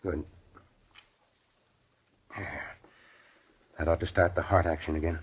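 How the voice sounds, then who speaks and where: A man's voice: very deep voice, steady tone, slow. An actor playing a driven, earnest male surgeon in 1940s radio drama, with a commanding manner. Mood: urgent, commanding. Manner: urgent, commanding. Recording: muffled, noisy vintage radio recording.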